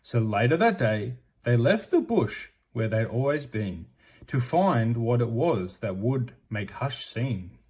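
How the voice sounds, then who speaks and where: A man reads a children's storybook aloud in expressive narration. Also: medium pitch, slow, husky. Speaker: storybook reader.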